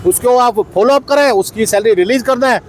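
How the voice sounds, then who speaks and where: A man's voice: very high voice, brisk, slight background noise. A union president, insistently answering a reporter's questions at an outdoor protest, repeating his points. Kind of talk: on-the-spot protest interview. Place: outdoor protest site by a street.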